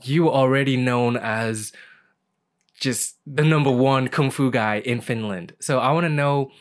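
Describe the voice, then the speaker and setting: A man's voice: mid-range voice, unhurried. Eager male interview host. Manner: enthusiastic, agreeable, eager interjections. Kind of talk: casual interview chat.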